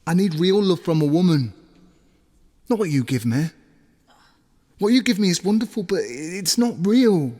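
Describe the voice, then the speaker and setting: A man's voice: sits high, expressive, moderate pace, long pauses, dark tone. A man playing a hurt, blunt boyfriend accusingly ending a relationship in an audio drama. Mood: regretful, firm. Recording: long reverberant hall sound.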